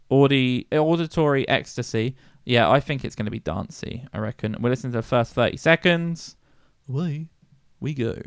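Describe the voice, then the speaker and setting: A man's voice: medium pitch, clean tone, dry recording, clean studio sound. A man co-hosting a music podcast in casual chat, laughing often and swearing casually. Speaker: podcast co-host.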